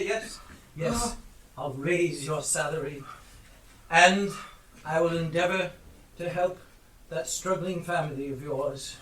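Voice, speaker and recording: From a distant, echoey stage comes a man playing an old reformed miser, high-voiced and effusive. He speaks slowly and stammers, eager to please and overflowing with goodwill, in amateur live theatre. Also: fairly bright tone. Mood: jubilant. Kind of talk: amateur stage play acting.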